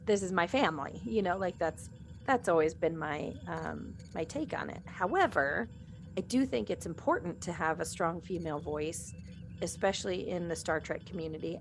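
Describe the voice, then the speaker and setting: A woman's voice: on the low side, normal speed, soft background music. A woman chatting casually as she moderates a group podcast, with an informal, slightly hesitant, giggly way of talking. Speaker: podcast cast member moderating fan questions.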